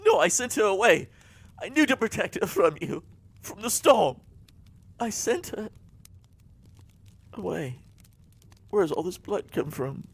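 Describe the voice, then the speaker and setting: A maddened, grief-torn character in horror audio drama. His voice is raised high and moves slowly in anguished, broken fragments with lively swoops. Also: vocal fry, husky, dry recording, full character voice. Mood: distraught, disbelieving. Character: distraught, maddened man pleading over lost loved one.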